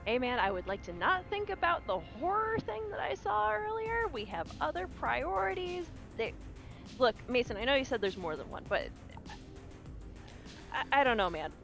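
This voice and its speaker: A woman's voice: high voice, sing-song, moderate pace, creaky, a bit bright. A woman playing a tabletop roleplaying game, chatting casually in character. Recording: music playing underneath.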